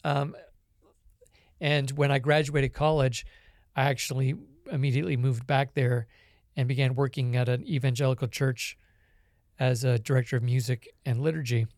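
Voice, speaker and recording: A Canadian man, a podcast guest, telling his life story in a relaxed, reflective way with many small pauses. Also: mid-range voice, no room sound, studio quality. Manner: reflective storytelling with frequent ums. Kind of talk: conversational interview.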